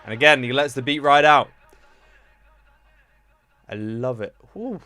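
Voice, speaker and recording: A man hosting a music reaction video, talking in an enthusiastic, chatty way and drawing words out slowly. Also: mid-range voice, pushed and bright. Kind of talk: casual reaction commentary.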